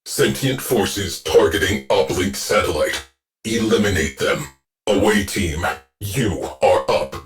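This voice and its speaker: An actor playing a grave male ship AI who gives clipped, measured military reports in a video game. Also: on the low side, faint music behind. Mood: steady. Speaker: ship's command AI.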